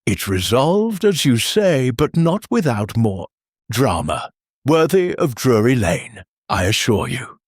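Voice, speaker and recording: A man's voice: mid-range voice, big pitch swings, takes it slowly, husky, dry recording, clean studio sound, exaggerated voice. A man voicing a humiliated, scornful young actress who tells of her ordeal with wounded drama in an audiobook. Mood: bitter, dramatic. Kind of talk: audiobook character dialogue.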